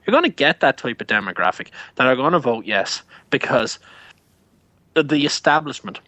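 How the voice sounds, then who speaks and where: A man's voice: mid-range voice, very animated, normal speed, sharp tone, no echo. A man with an Irish accent, ranting heatedly and full of swearing in a casual show chat. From Ireland. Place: Canada.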